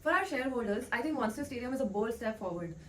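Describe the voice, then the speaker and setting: A woman's voice: fairly high pitch, animated, fast talker, a bit bright. A woman presenting a scripted argument as a panelist in a business role play. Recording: distant mic, faint background noise.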